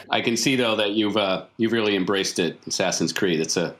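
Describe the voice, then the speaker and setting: A man speaking as game master in an improvised tabletop roleplaying session, with measured, halting phrasing. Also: on the low side, a bit bright, a little hiss or hum. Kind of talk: improvised tabletop roleplay narration and in-character dialogue.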